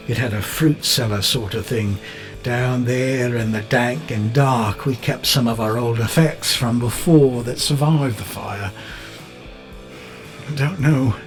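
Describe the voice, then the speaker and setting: Bitter British confessor over music. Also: male voice, mid-range voice. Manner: rambling, coarse, darkly matter-of-fact. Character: bitter, unravelling British photographer recounting his crime. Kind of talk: dramatic monologue in an audio drama. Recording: music under the voice.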